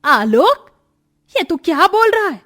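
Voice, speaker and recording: A woman's voice: very high-pitched, very animated, measured pace, fairly bright tone, pitched above the performer's own voice, full character voice. A woman voicing a domineering, scornful older mother-in-law in a dramatised moral story, scolding and taunting as she gives orders. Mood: angry, scornful.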